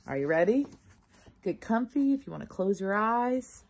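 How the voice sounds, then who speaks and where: A woman reading a children's storybook aloud like a teacher, casual, expressive and encouraging toward young listeners. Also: medium pitch, moderate pace.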